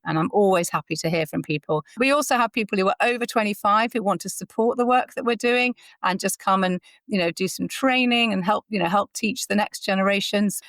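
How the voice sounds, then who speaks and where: A woman's voice: medium pitch, a bit bright, no room sound. A woman speaking as an interview guest in an articulate, enthusiastic, conversational way. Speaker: interview guest, founder of an audio training platform.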